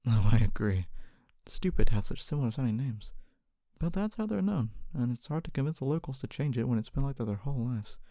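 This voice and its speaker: A performer voicing a kindly, humble fantasy city guard in a soft-spoken ASMR roleplay, often whispering, with a gentle, hesitant and reassuring manner. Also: medium pitch, lively intonation, brisk, dry recording, natural voice. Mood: helpful, reassuring. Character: kindly, humble city guard, wannabe knight, calming and helpful.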